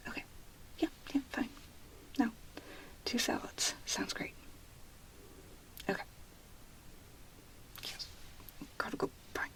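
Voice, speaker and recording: A woman performing an ASMR role play in a breathy whisper, murmuring softly in short pieces with pauses. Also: low-quality recording.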